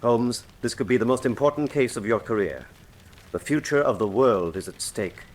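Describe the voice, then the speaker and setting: A man's voice: mid-range voice, normal speed, natural voice. An actor playing a grave, shrewd churchman in a radio mystery, speaking in a solemn, formal, emphatic manner. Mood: grave, urgent. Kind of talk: full-cast radio mystery drama acting.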